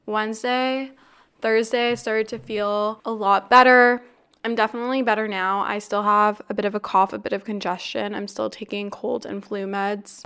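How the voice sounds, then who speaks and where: A woman in her twenties hosting a podcast in casual chat, a little congested and raspy from the flu, upbeat and chatty with lots of "like" and "really". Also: mid-range voice. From Canada. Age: 20s. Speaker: podcast host.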